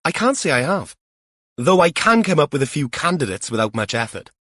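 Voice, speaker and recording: A man's voice: fairly high pitch, sing-song, fairly quick, fairly bright tone, no room sound, clean studio sound, voice pushed up. A man voicing a junior police detective, curious and eager, with a blunt, colloquial manner, in an audiobook.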